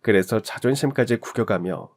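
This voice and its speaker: A man's voice: lowish voice, normal speed. A young man narrating a scripted story in a conversational way, speaking directly to the listener.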